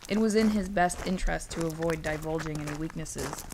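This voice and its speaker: A woman's voice: low-pitched, brisk, background noise. A woman storyteller reading scripted fantasy narration in an audiobook manner.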